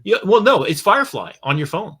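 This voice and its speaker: A man chatting casually as a software expert, enthusiastic and exclamatory, rambling as he explains. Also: high-pitched, big pitch swings, fairly quick, breathy edge. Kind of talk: casual live tutorial chat.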